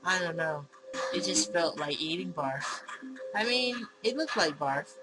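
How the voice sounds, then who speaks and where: A woman's voice: on the low side, animated, measured pace, over loud music, poor audio. A woman voicing a blunt, defensive husband in a visual novel, reading his dialogue dramatically. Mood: blunt complaining.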